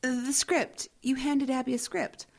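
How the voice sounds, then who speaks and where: Woman as young intern, slightly high pitch, naive, anxious, hesitant, audio comedy acting. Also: steady tone, moderate pace, creaky. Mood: polite, curious.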